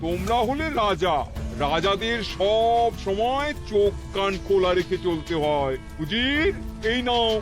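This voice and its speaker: Cartoon villain king: imperious, scheming, remarkably high voice over a music bed. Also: expressive, takes it slowly. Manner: imperious, gloating, menacing. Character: scheming, domineering male Nawab ruler. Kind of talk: cartoon voice acting. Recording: music behind the voice.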